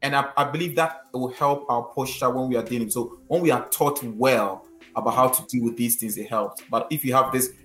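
A man's voice: mid-range voice, fairly even intonation, a little fast, fairly bright tone. Ghanaian-accented man, roomy echo. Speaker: discussion panelist. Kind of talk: earnest conversational exhortation. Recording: roomy echo, music behind.

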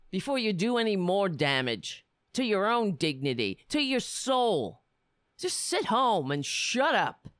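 A man with a raspy voice hosting a political commentary show, reading articles aloud and breaking into heated, sarcastic insults. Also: high voice, a bit bright, no echo, studio quality. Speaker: podcast host.

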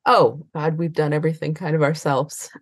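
A woman's voice: low voice, steady tone, normal speed, no echo, studio quality. An American woman talking casually as an interview guest, rambling and often trailing off mid-thought. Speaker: interview guest, librarian and trainer.

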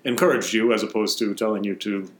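A man hosting a podcast, reading a measured, descriptive introduction and then interviewing a guest at a busy festival. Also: lowish voice, steady tone. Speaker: podcast host. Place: busy festival venue, stone hall. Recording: roomy, with music behind.

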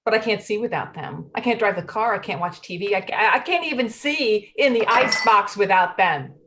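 A woman acts out a fretful wife in a stage play. Her pitch moves around a great deal as she stammers and hesitates, evasive and flustered, like someone hiding a secret. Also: lively intonation, fairly quick, background noise, lightly acted. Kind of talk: play reading.

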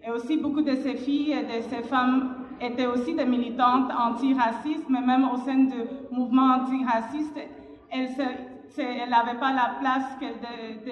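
A woman answers spontaneously on a panel, pausing to find her words. Her voice sits a little high. The sound is echoey and somewhat dull, as if in a large hall. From Montreal resident of Afro-Cuban origin. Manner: halting, reflective, searching for words. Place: public panel in a hall, through a passed microphone.

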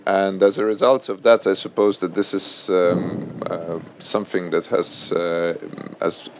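A man in his thirties, a native Russian speaker, talks on a panel before an audience. His voice sits very low, with a gravelly fry, and he keeps pausing on fillers and restarting his sentences. Faint noise hangs under the recording. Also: little pitch movement. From Estonia. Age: 30s. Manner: halting, many fillers and restarts. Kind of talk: panel discussion. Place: event hall before an audience.

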